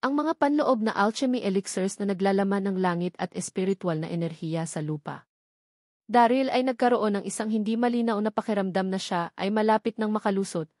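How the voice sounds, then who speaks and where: A woman reads a novel aloud as a scripted narrator, formally and in measured, separate phrases. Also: medium pitch, no echo, studio quality. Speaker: audiobook narrator.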